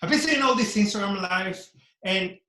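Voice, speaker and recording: A man with a Venezuelan Spanish accent doing chatty, self-mocking stand-up, often tagging lines with a question. His voice sits high for a man. The sound carries a noticeable roomy echo. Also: expressive, normal speed, sharp tone. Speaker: stand-up comedian. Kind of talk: online stand-up set. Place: Miami.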